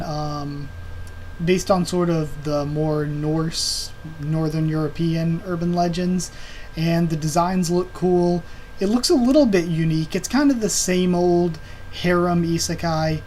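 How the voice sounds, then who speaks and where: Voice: medium pitch, darkish tone, low-quality recording. Young host, home desk, casual rambling chat, fan hum underneath. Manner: rambling, with many ums and uhs. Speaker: anime video channel host. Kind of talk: casual season preview chat. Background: computer fan hum.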